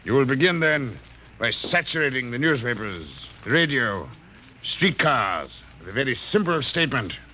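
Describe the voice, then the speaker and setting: A man's voice: mid-range voice, big pitch swings, normal speed, sharp tone. An actor playing a mysterious, coolly sinister client in a mid-century radio drama, speaking formally and precisely, savoring his words. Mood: commanding. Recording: noisy old radio broadcast recording.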